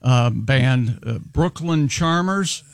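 A man's voice: medium pitch, animated, takes it slowly, vocal fry, no echo, clean studio sound. An American man co-hosting a talk show in casual, bantering conversation. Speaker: radio show co-host.

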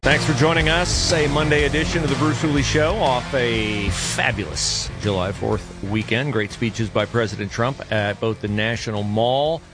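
A man's voice: mid-range voice, moderate pace, hardly any pauses, music in the background. A man hosting a talk radio show, speaking in a conversational, opinionated monologue with frequent fillers and self-corrections. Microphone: studio mic.

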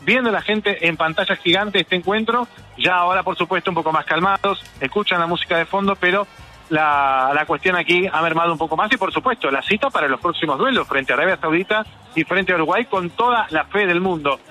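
A man's voice: high voice, brisk, bright, forceful tone. A man reporting live for radio from a community center, talking fluently and descriptively and staying polite with the people he interviews. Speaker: field reporter. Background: music playing in the background.

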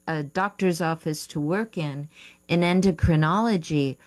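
A woman's voice: lowish voice, darkish tone. A woman coaching on the radio, answering listener letters while thinking aloud and correcting herself. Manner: thinking aloud, unsure of terms, self-correcting. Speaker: writing coach and radio host. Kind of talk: advice show talk, reading listener letters.

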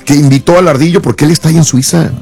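A man's voice: a little high, fairly even intonation, very fast, darkish tone, slightly rough. A man with a Mexican accent hosting a show with casual banter, with music behind his voice. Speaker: show host.

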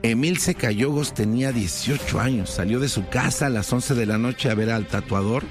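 A Mexican man narrates true crime as if explaining it to a listener. His voice sits at a medium pitch. The delivery is informal, with conversational asides, and music plays behind it. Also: normal speed. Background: background music.

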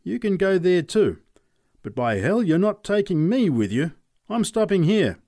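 A man's voice: sits high, very animated, measured pace, darkish tone, dry recording, pitched above the performer's own voice, full character voice. Guy putting on a whiny cowardly aussie farmer, pleading, dry close sound. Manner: pleading, wheedling salesman's patter. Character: wary, cowardly man hiding among his cows. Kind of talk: audiobook character voice.

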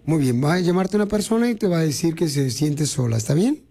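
A man makes a prank call on the radio. He keeps a deadpan, teasing tone and rambles along like a lonely caller. Faint music plays beneath his voice. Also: on the high side, quick pace, gravelly.

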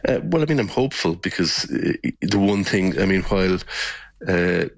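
Irish male interviewee, low-pitched, dry close sound, hesitant delivery with repeated words, measured news-interview register. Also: animated, no echo. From Ireland. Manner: halting, often repeating words mid-sentence. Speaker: election observer and politician giving interview. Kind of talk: spontaneous news interview answers.

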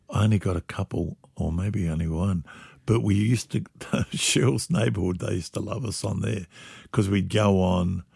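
An older man with a gravelly, husky voice, telling lively stories about his band days and laughing often. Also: sits low, dry recording. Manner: animated storyteller, laughs often. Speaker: musician guest. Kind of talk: reminiscing interview chat.

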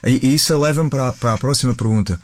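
A man's voice: medium pitch, fast talker, dry recording, clean studio sound. A Portuguese man with a European Portuguese accent who interviews a guest for the radio, hesitating and restarting often.

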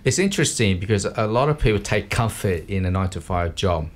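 A man's voice: fairly low pitch, animated, fairly quick. A man hosting a casual interview, encouraging his guest with short affirmations. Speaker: podcast host.

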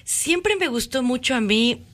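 A woman's voice: high-pitched, lively intonation, unhurried, a bit bright. Chatty Ecuadorian woman. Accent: Ecuadorian Spanish. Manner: chatty, eager storytelling. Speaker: guest. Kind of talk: radio interview.

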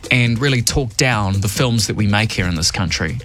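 Opinionated New Zealand radio host. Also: male voice, lowish voice, animated, moderate pace, over music. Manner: emphatic, opinionated, varied delivery. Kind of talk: opinion commentary and news reading.

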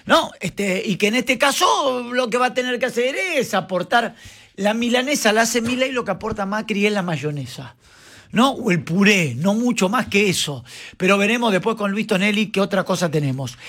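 A high-voiced Argentine man runs a casual radio show. He teases his co-hosts and fires off rhetorical questions in a playful, easy manner. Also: lively intonation, fairly bright tone. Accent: Argentine Spanish. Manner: playful, teasing, rapid-fire questions. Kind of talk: casual talk radio banter.

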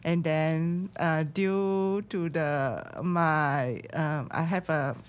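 Guest, woman, low voice, halting and laughing. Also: fairly even intonation, smooth. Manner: hesitant, searching for words, laughs often. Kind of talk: casual chat.

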